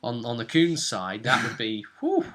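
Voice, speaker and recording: A man's voice: medium pitch, huge pitch swings, normal speed, fairly bright tone. A man chatting casually as a game master, retelling a role-playing session like a story.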